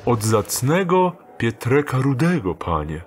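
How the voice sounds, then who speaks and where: Man acting a courtly sly thief, lively intonation. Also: mid-range voice, a little slow, a little hiss or hum. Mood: smoothly deferential. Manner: formal, polite, archaic courtly phrasing. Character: sly male thief posing as a courteous gentleman messenger. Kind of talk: audio drama acting.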